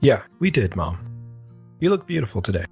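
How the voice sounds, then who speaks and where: An actor playing an earnest, respectful teenage son in an audio drama. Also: male voice, fairly low pitch, sing-song, moderate pace, vocal fry, darkish tone, husky, full character voice. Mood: warm. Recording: faint guitar music under the voice.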